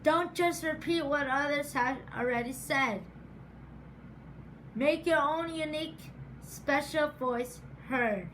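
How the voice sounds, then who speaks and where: A woman giving a prepared speech in a deliberate, measured way, stuttering often with repeated sounds and words. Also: sits high, a bit bright, slight background noise, low-quality recording. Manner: stutters with sound and word repetitions. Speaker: program graduate giving a speech.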